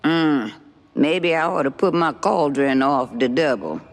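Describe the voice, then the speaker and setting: A woman's voice: low voice, animated, a little slow. A woman voicing an ancient, sly swamp witch in a cartoon game, with a Louisiana Cajun accent and a folksy, teasing, cryptic drawl.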